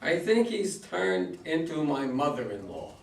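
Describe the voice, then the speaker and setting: Man acting a flustered astronaut, high creaky voice. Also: big pitch swings, unhurried, full character voice. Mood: exasperated. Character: flustered astronaut coaxing a confused crewmate. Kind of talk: amateur staged play reading. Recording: echoey room, distant mic.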